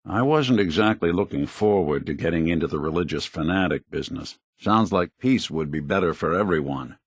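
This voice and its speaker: A male actor voicing a world-weary, principled android private detective in a video game, with a gravelly, wry, plainspoken noir delivery. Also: deep voice, lively intonation, a little fast, no room sound, clean studio sound. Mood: measured, wry. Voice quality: gravelly, husky.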